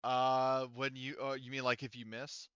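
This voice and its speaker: A man narrating a tabletop game casually as game master, in an animated, informal way with some swearing. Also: medium pitch, moderate pace, clean tone, no room sound, dull audio.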